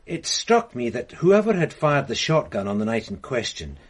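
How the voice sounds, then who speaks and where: A man's voice: medium pitch, big pitch swings, moderate pace, husky. A man playing an earnest, methodical police sergeant in a classic radio drama, explaining his work step by step.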